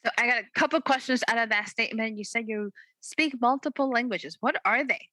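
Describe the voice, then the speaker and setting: A woman's voice: fairly high pitch, a little fast, bright, forceful tone, no room sound. A woman hosting an author interview, with upbeat enthusiasm. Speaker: interview host.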